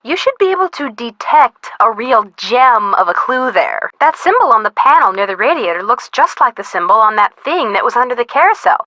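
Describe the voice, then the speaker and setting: A bright, close and dry high voice for a bubbly teenage girl sidekick. She gives scripted game hints with playful drama, swinging her pitch eagerly, nosy and easily spooked. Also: very animated, a little fast, few pauses, no room sound. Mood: playful, curious. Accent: American. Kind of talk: scripted video game hint dialogue.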